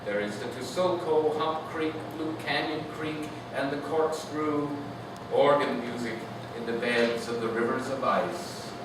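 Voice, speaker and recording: A man speaking as a poet at a live reading, in a measured, deliberate manner. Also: medium pitch. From Canada. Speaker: poet reading from own work. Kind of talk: live poetry reading with informal anecdotes. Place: echoey room at a live reading. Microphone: distant microphone. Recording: distant, echoey room with noisy background.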